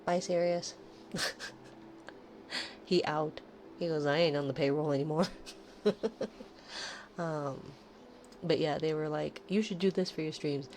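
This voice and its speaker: A woman with a nasal voice chatting casually on a late-night livestream, slipping into soft whispers between easygoing remarks. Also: low-pitched, steady tone, unhurried, pauses often, slight background noise. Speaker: livestream host. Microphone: streaming microphone close up.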